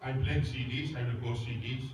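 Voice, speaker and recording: A man's voice: medium pitch, steady tone, moderate pace, background noise. A pastor from Samoa preaching a sermon in English, his second language, with a Samoan accent. He speaks in simple, emphatic, repeated phrases. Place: church. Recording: echoey church hall, voice from far off.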